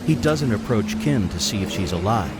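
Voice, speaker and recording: Male narrator, fairly low voice, scripted audio drama narration. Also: big pitch swings, moderate pace, very noisy.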